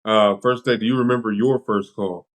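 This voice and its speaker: Casual American man, slightly low voice. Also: fairly even intonation, moderate pace. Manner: informal, interjecting, rambling questions. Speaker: podcast host. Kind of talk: casual interview chat.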